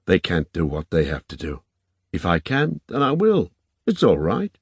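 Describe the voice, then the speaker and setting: Man playing a plain, stoic low-voiced hero. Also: wildly swooping pitch, moderate pace, slightly rough, dry recording, exaggerated voice. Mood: quietly resigned. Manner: plain, unassuming, quiet.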